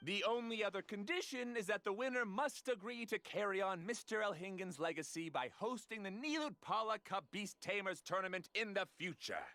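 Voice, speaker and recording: A man's voice: high voice, animated, brisk, few pauses, pushed and bright, exaggerated voice. An actor playing a confident male scholar in a video game, addressing a crowd in a formal, grandiose, reassuring way. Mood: grand, formal. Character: showy confident male scholar and tournament organiser. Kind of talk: video game character giving announcement speech.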